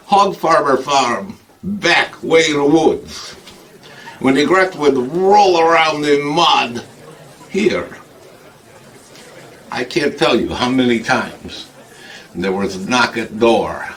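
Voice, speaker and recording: Boastful broken-English Igor, a man doing the voice, slow and bright, slightly distant. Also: mid-range voice, lively intonation, a little hiss or hum. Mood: reminiscing. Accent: put-on broken English. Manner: broken grammar, frequent laughing. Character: foreign male hunchback-type comic Igor, boastful. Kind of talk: comic monologue.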